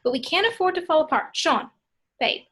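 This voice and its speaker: A woman's voice: high-pitched, expressive, brisk, fairly bright tone. A woman reading the part of an exasperated but level-headed young peacemaker in an amateur table read of a film script. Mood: placating.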